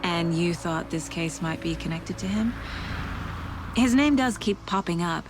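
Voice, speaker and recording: Sharp game detective, lowish voice, wry and conversational, loud score underneath. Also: female voice, expressive, measured pace, music in the background. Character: dry, sharp, level-headed female detective partner. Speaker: detective partner. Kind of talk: cinematic video game dialogue.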